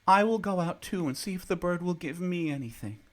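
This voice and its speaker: A man voicing a little girl in a fairy tale with a high, eager voice. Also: lively intonation, brisk, noisy background. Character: little girl, tearful then joyful.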